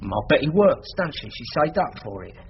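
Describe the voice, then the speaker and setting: An actor playing a cheeky, loyal working-class husband in trouble, with a London accent, in a radio drama. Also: male voice, a little high, sing-song, a little fast. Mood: earnest, defensive. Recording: muffled, faintly noisy radio recording.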